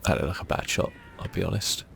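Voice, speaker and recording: A man giving relaxed, casual ASMR game commentary in a soft, breathy, half-whispered voice, musing to himself as he plays. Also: quick pace, a little hiss or hum. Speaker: gaming channel host.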